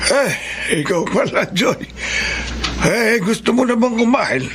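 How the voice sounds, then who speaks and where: An actor playing a sickly, kindly old grandfather in a Filipino radio drama, speaking haltingly and humbly. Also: very high-pitched, very animated, heavily acted. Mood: mild, concerned. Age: older. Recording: background music under the voice.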